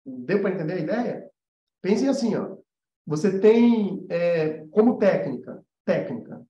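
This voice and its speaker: A Brazilian man gives an informal online lesson as a teacher and mentor. His voice is mellow and relaxed, and he explains things conversationally, often checking that listeners follow. The recording is roomy and echoing, with a slightly dull, muffled sound. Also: high-pitched. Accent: Brazilian Portuguese.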